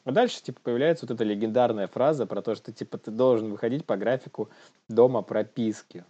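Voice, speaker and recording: A man's voice: medium pitch, fast talker, warm tone, lo-fi sound. A young man co-hosting a casual news chat in an informal, slangy manner, often chuckling as he talks. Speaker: podcast co-host.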